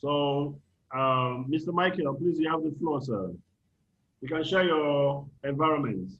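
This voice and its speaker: Nigerian male moderator, slightly high voice, informal workshop host on a dull-sounding video call. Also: unhurried, fairly bright tone. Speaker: workshop host calling on participants. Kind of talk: informal online meeting moderation. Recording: dull lo-fi online call audio.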